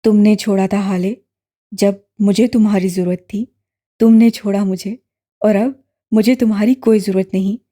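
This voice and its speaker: A woman voicing a bitter young man who shouts accusations at his sister, in a lowered voice in an audiobook. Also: fairly even intonation, lightly acted. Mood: bitter, shouting. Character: furious young man, bitter estranged brother. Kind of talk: audiobook character voice.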